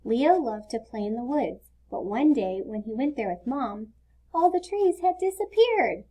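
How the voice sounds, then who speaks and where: A woman's voice: a little high, big pitch swings, a little slow, mellow. A woman narrating a children's storybook as a scripted read-aloud. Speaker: storybook reader.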